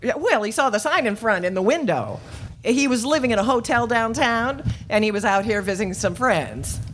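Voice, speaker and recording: A woman playing a flustered, soft-hearted but stubborn older landlady in a radio play, rambling, hesitant and defensive. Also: a little high, lively intonation, moderate pace. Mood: vague, hesitant. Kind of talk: live old-time radio play acting.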